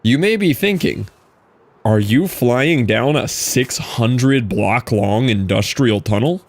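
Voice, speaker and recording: A man's voice: medium pitch, animated, slow pace. A young man casually chatting with his live-stream audience while playing, reading out and answering chat messages.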